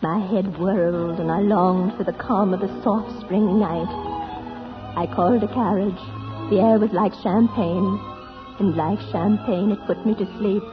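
A woman acts a refined, romantic heroine recalling her youth on vintage radio. Her delivery is poised and wistful, at a middling pitch. Music sits behind a dull old recording. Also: fairly even intonation, measured pace, natural voice. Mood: wistful, lively. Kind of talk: 1940s radio drama narration.

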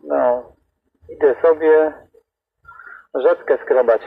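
A man's voice: a little high, fairly even intonation, takes it slowly, gravelly, breathy edge, no echo. A man with a Greater Poland accent chatting casually as an amateur operator over CB radio. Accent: Polish, Greater Poland. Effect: CB radio transmission. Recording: tinny, noisy CB radio reception. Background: radio static.

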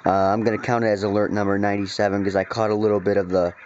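A man's voice: fairly low pitch, flat delivery, very fast, a little mellow. A man giving casual, off-the-cuff commentary to his viewers in an irritated way, swearing freely, recorded indoors on a handheld camera. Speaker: hobbyist video maker recording weather radio alerts. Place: dark room indoors at a computer. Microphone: handheld camera, speaker behind it. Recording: muffled lo-fi camera audio with noise.

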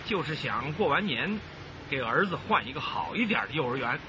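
A man's voice: medium pitch, sing-song, moderate pace, lightly acted. An actor playing a hearty, boastful building foreman in a radio drama, with an expansive, folksy, self-assured manner. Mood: confiding, opinionated. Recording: dull, noisy radio drama soundtrack.

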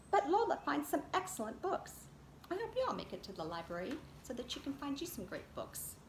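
A middle-aged woman, a preschool teacher reading a picture book aloud and talking expressively to young children. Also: fairly high pitch. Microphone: camera a short distance away. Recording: roomy echo, clear background noise.

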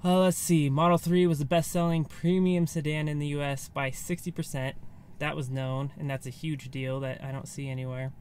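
A man's voice: on the high side, flat delivery, moderate pace, a little mellow. An American man in his thirties giving a casual, off-the-cuff recap to camera from inside a car, loosely phrased as if thinking aloud. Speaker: vlogger. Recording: faint background ambient noise.

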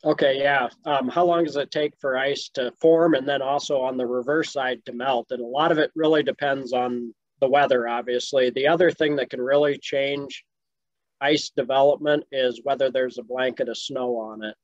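A man's voice: mid-range voice, steady tone, normal speed, no room sound. A man in his fifties with a Midwestern American accent, explaining fishing in a webinar Q&A, easygoing with many ums, from a home office on a video call. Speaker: fisheries expert answering questions. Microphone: video call webcam. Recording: muffled video call audio.

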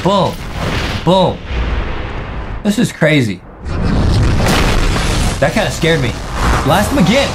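A young man in his twenties doing excited, exclamatory reaction commentary as a video host, in short hype-filled bursts. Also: on the high side, slow pace, long pauses. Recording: music playing under voice. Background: music and video sound effects.

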